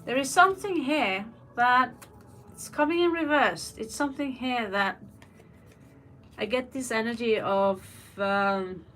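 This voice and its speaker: Slow-talking woman reading tarot. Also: fairly high pitch, a bit bright, low-quality recording. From Norway. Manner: rambling, personal asides, reads out viewer questions. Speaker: tarot reader. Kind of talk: live tarot reading, chatty answers to viewer questions. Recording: faint music behind the voice.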